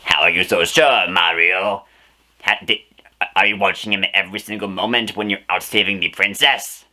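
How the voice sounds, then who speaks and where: Low super sharp cartoon bad guy, scheming, gloating, very theatrical. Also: fairly even intonation, moderate pace.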